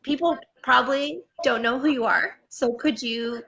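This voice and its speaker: Bright, gravelly-edged woman host. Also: high voice, moderate pace, muffled recording, slight background noise. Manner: chatty, laughs often, loose fillers. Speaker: interview host. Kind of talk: casual interview chat. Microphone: video call.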